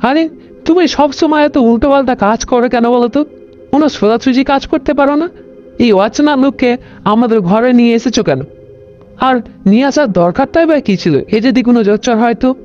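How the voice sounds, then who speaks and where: A woman's voice: on the high side, normal speed, darkish tone, lo-fi sound, soft background music, close to an everyday voice. A woman playing an older, bossy housewife and mother in a cartoon story, with an emphatic manner. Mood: nagging, annoyed. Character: older mother of a grown son; nagging landlord's wife.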